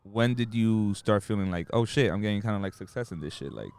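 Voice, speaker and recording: A man's voice: lowish voice, animated, brisk, a little mellow, clear, resonant tone. A man hosting a podcast interview, joking around and talking in loose slang. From Dominican family. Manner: joking, slangy, laughs a lot. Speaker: podcast host. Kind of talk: casual interview chat.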